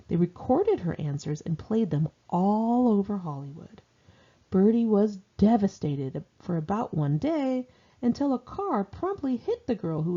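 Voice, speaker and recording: A woman's voice: lowish voice, dark tone, dull audio. An American woman reads her own memoir in a conversational, candid, wry and slangy way. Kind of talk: conversational first-person memoir narration.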